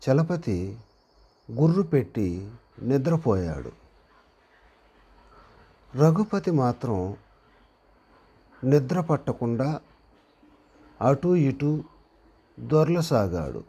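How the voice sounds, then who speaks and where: A man reading a moral story aloud as a narrator, deliberately, in short phrases with pauses, as if for dictation. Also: mid-range voice, big pitch swings, mellow, husky.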